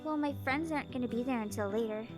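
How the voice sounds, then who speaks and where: A woman as a bright young anime little sister, high voice. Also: fast talker, faint music behind, lightly acted. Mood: hesitant. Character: cheerful, teasing teenage little sister in anime game. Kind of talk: scripted visual novel voice acting.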